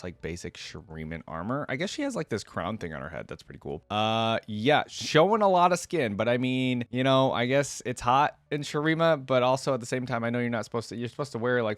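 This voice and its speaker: A man's voice: medium pitch, dry recording. A man giving casual, informal, lightly amused commentary as host of a reaction video.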